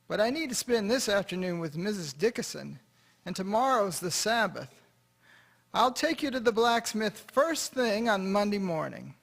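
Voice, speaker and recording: A man's voice: sits high, animated, normal speed, a bit bright, exaggerated voice. A man playing a kindly, chatty farmer who talks fondly to his horse in a radio drama. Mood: affectionate. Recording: noisy, with clear foley sounds behind.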